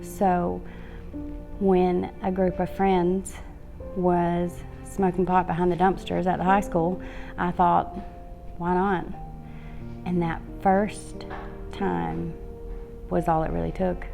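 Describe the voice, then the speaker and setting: A woman telling her recovery story in a slow, steady and quiet way. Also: lowish voice, vocal fry. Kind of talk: personal recovery testimonial. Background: soft music.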